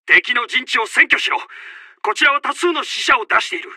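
An actor playing a commanding, urgent Japanese army officer who barks out short battle announcements in a military video game. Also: male voice, very high-pitched, expressive, normal speed, pushed and bright, dry recording, exaggerated voice. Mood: urgent, desperate.

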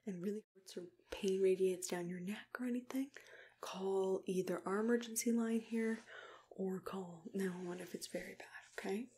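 A young woman doing an ASMR roleplay as a caring dentist, mostly whispering in a gentle, reassuring way, close to the microphone. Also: mid-range voice, moderate pace. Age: young adult. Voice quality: breathy, hushed, mostly whispered. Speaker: ASMR creator playing a dentist.

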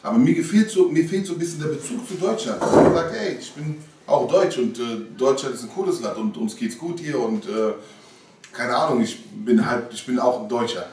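A man's voice: mid-range voice, brisk, very noisy. A man in his thirties, a youth worker, talking casually and earnestly to teenagers, with many fillers and restarts. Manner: hesitant, filler-heavy, earnest. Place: youth club room with bookshelves. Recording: roomy, from a distance.

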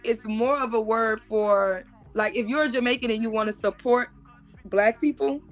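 A woman's voice: on the high side, fairly even intonation, normal speed, faint music behind. A woman in her thirties, joining a live stream over a video call and explaining things emphatically, often repeating herself. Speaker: guest.

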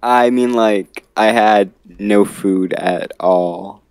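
A man's voice: fairly low pitch, drawn-out words, a little mellow, clear, resonant tone, dry recording, faint music behind. A man playing a shy, polite loner in an improvised game roleplay, speaking hesitantly and apologetically. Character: shy, polite male newcomer, keeps to himself. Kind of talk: improvised Minecraft anime roleplay.

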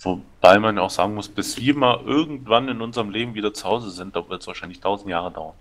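A man with a fairly low voice talking through a co-op survival game with a friend. He rambles along and walks through unlockable items and game mechanics in detail. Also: brisk. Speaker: gameplay co-commentator. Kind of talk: casual co-op gameplay chat.

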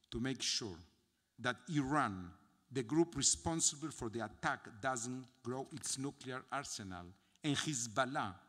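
An older man with an Argentine Spanish accent preaches at a synagogue podium mic, slow and solemn, letting phrases fall quiet at their ends. His mid-pitched voice rings out into the long reverb of a large sanctuary. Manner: solemn, measured, reading from notes with dramatic pauses. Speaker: rabbi. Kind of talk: memorial sermon. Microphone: gooseneck podium mic.

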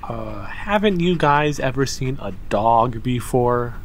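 An actor, a man, playing an excitable, defensive alien enthusiast out walking a dog in an audio drama. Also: mid-range voice, takes it slowly, creaky. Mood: apologetic, defensive. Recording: music behind the voice.

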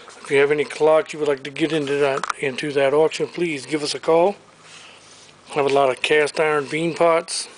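Guy walking around a warehouse pitching auction stuff, kinda high voice, quick item-by-item rundown, camera mic, faint background hum. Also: level tone. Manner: listing items briskly, upbeat salesman patter. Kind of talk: walkthrough preview of auction items. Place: large warehouse showroom full of furniture.

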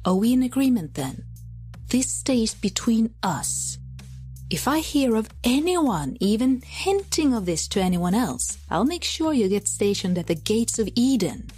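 A woman's voice: a little high, sing-song, unhurried, slightly rough, faint music behind, heavily acted. Woman voicing a secretive male angel leader. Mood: hushed, threatening. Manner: hushed, enthusiastic. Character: male angel leader, secretive, smitten, bossy yet awkward. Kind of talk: audio drama character dialogue.

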